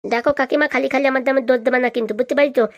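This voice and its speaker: A woman's voice: sits high, fairly even intonation, rapid-fire, no room sound, lo-fi sound. A woman voicing a mischievous, scheming boy in a cartoon, with a sly, blustering, slangy manner. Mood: indignant. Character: scheming, mischievous boy, petty thief and braggart. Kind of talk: cartoon voice acting.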